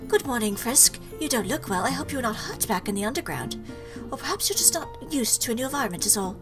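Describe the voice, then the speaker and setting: A woman voicing a caring, motherly goat monster from a visual novel, gentle, concerned and formal in her wording. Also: on the high side, lively intonation, quick pace, music in the background, natural voice. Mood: tender, concerned. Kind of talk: visual novel dialogue read aloud.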